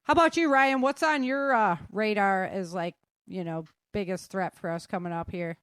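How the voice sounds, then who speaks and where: A woman's voice: mid-range voice, normal speed, fairly bright tone, clean tone, no echo. Female panel host, conversational and informal, mid-range voice, dry recording. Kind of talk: casual panel discussion.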